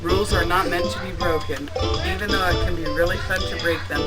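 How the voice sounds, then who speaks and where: A woman's voice: lowish voice, steady tone, brisk, low-quality recording. A woman teacher reading a personal essay aloud in a classroom, with an amused manner. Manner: amused, often breaking into laughter. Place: school classroom. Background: music.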